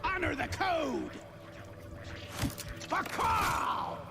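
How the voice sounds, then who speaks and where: Name: dwarf miner. a gravelly, shouting space dwarf. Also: male voice, very high-pitched, huge pitch swings, drawn-out words, noisy background, exaggerated voice. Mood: rallying. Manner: shouted battle cries. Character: gruff battle-hungry male space dwarf miner. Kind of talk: video game voice lines.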